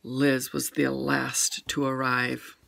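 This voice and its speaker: An older woman reading a children's picture book aloud at home with gentle, expressive storytelling. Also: rumbling low, slow pace, creaky, noisy background.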